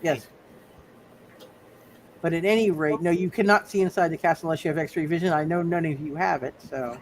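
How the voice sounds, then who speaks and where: Man, high-pitched, halting game master, casual tabletop explanation, repeated phrases, faint noise behind. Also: low-quality recording.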